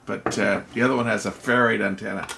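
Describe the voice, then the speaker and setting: A man's voice: on the low side, steady tone, fast, vocal fry, background noise. A man giving casual, hesitant commentary, thinking aloud as he works hands-on at a repair job. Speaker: host of a radio repair video. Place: indoor electronics workbench.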